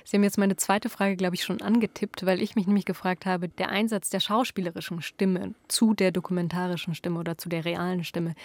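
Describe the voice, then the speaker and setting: A woman's voice: medium pitch, darkish tone, no room sound. A woman working as an interviewer, asking thoughtful, discursive questions.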